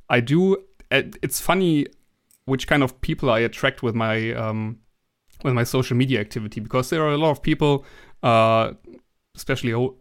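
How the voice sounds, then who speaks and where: A young man from Germany speaks English with a German accent in a relaxed interview. His voice is smooth and clear at a middle pitch, and he often pauses, restarts and fills gaps as he searches for words. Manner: hesitant, many restarts and fillers. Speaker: guest. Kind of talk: casual interview conversation.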